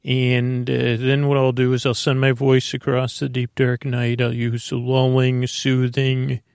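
Creaky-voiced man rambling softly. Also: mid-range voice, completely flat delivery, no room sound, studio quality. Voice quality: creaky, dulcet. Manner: meandering, slow, self-interrupting. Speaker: sleep podcast host. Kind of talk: rambling bedtime monologue.

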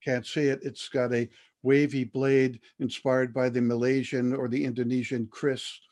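An older man speaks as an interview guest, unhurried and reflective. He pauses often and restarts his sentences while he recalls his experiences. His voice sits at an ordinary middle pitch. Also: flat delivery, a little mellow. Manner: measured, thoughtful, with frequent fillers and restarts.

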